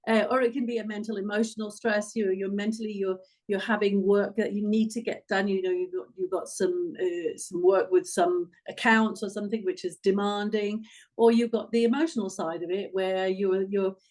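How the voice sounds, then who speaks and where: A woman's voice: mid-range voice, fairly even intonation, moderate pace, darkish tone, rough recording. A woman in her sixties with an English accent, explaining things conversationally as an expert guest. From England. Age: 60s. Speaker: guest physiotherapist and hormone expert.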